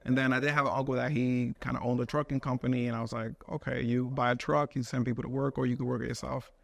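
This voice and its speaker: A man in his thirties, a studio owner, talking casually as a podcast guest in a relaxed, rambling way with many fillers. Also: mid-range voice, fast, vocal fry, no room sound. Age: 30s. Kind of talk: casual interview chat.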